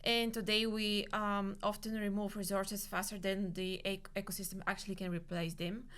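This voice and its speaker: A woman's voice: mid-range voice, little pitch movement, normal speed, fairly bright tone, clear, resonant tone. A woman in a student podcast, reading a prepared segment with frequent fillers and restarted words.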